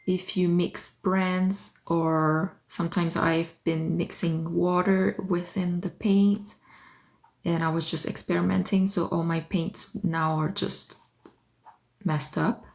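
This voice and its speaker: A woman's voice: fairly low pitch, level tone, slow pace, vocal fry, darkish tone. A woman whose first language is French, chatting casually on a livestream from her art studio, greeting viewers by name and thinking aloud as she works. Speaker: artist hosting a livestream. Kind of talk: casual livestream chat while working.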